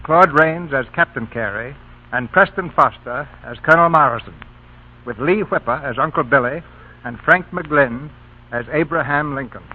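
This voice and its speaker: A man's voice: on the high side, moderate pace, slight background noise. A man hosting a radio theatre, reading formal scripted narration in 1940s broadcast style. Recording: tinny old radio broadcast, music.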